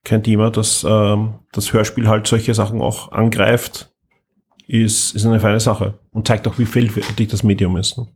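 A man chatting as a podcast co-host, explaining things in halting, repeated starts. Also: on the low side, level tone, a little mellow, breathy edge. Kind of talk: casual chat.

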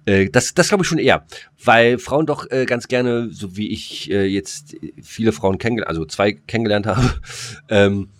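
Man, German accent, low pitch, dry sound, halting repetitive phrasing, casual podcast discussion. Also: dry recording. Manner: halting, stammers and repeats words while arguing. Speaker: podcast co-host.

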